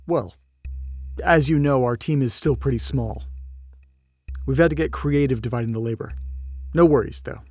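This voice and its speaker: An actor playing a polite field agent who reports on cases in a measured, slightly tentative way in an audio drama. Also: male voice, mid-range voice, a little mellow, no echo, soft background music, lightly acted. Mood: polite, easygoing.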